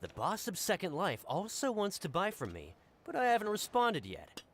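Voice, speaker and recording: A man's voice: high voice, huge pitch swings, normal speed, a bit bright, slight background noise, full character voice. A man acting a pushy, boastful fruit seller in a video game, with a wheedling salesman's manner. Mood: conspiratorial. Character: pushy, boastful male fruit seller hyping his wares.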